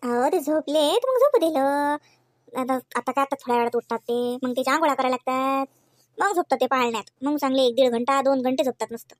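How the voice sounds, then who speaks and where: A woman's voice: high-pitched, fast, dry recording, clean studio sound, lightly acted. A woman voicing a practical, good-natured young wife and mother in a comedy cartoon, homely and chatty, with a Varhadi Marathi accent. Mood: explaining. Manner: chatty, homely, matter-of-fact. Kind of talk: homemade comedy cartoon dialogue.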